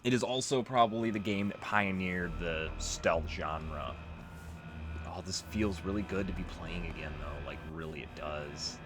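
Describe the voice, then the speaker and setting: A man's voice: low-pitched, measured pace. A man doing casual, off-the-cuff commentary as he plays a video game. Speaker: let's play gamer. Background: game music.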